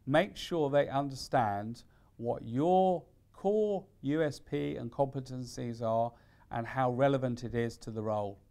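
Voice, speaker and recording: A man with a medium-pitched voice presents practical advice in an instructional style. He phrases things deliberately and leans on key words for emphasis, as a careers consultant would. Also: darkish tone. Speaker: careers consultant giving tips.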